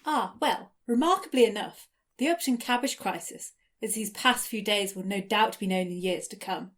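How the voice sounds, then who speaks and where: A woman's voice: mid-range voice, normal speed, fairly bright tone, close to an everyday voice. A woman playing a chatty, officious police sergeant in an audio drama. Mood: relieved.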